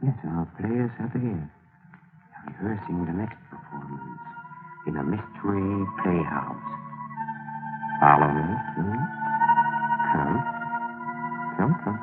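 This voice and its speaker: An actor playing a suave, conspiratorial mystery host on 1940s radio, measured, theatrical and inviting. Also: male voice, sits low, animated. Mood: sly. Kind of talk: 1940s radio scripted hosting. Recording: dull 1940s radio recording. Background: music.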